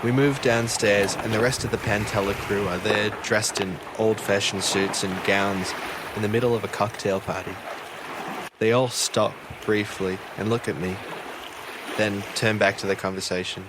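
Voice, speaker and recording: A man's voice: on the low side, fairly even intonation, normal speed, background noise, close to an everyday voice. A man playing an earnest, bewildered fisheries officer who narrates his own story in an audio drama. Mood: hushed wonder, recounting.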